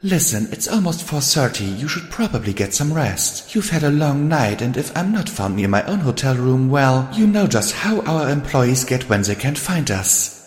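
A man's voice: medium pitch, big pitch swings, normal speed, pitched above the performer's own voice, lightly acted. A man voicing an elegant, tender unicorn mare with a refined, soothing manner, in an audio storybook. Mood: light, affectionate. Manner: genteel, soothing, affectionate, calls listener dear and darling. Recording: long hall-like reverb.

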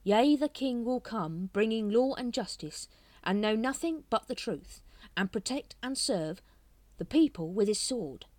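A woman voicing a dim, earnest male cult member who speaks slowly and plainly. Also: fairly high pitch, expressive, darkish tone, dry recording, pitched above the performer's own voice. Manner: slow, earnest, colloquial.

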